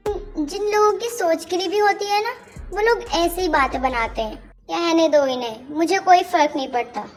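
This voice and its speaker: A woman's voice: very high-pitched, moderate pace, faint music behind. A woman voicing an insolent, rebellious young married daughter in a cartoon story, snapping defiantly and mixing in English. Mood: irritated.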